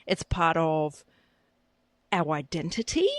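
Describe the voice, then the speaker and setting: A woman's voice: deep voice, slow, dry recording. A woman co-hosting a podcast in casual chat, playful and teasing. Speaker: podcast co-host.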